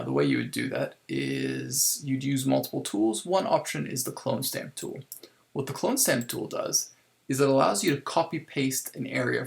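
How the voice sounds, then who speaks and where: A man giving a casual software tutorial step by step, thinking aloud informally as he works, in a creaky, gravelly voice. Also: medium pitch. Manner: informal, improvised, thinking aloud while working. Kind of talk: casual screen-recorded tutorial, explaining step by step.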